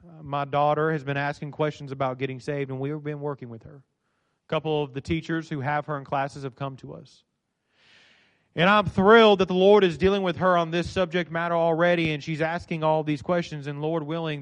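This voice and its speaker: A middle-aged man preaching a sermon in a church, with a dynamic delivery that swings from loud to soft. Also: fairly high pitch. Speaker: pastor. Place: church sanctuary.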